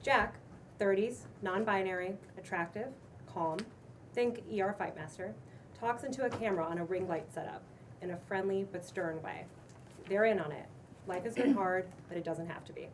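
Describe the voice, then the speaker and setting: A woman reading screenplay stage directions aloud in plain, measured narration. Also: mid-range voice. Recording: distant mic, noisy room.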